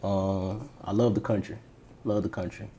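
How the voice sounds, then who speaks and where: A man's voice: sits low, level tone, normal speed, dark tone, a little hiss or hum. A man from Florida being interviewed as a podcast co-host, telling personal stories in a laid-back way and repeating phrases for emphasis. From Tampa, Florida, United States. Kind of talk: casual personal storytelling.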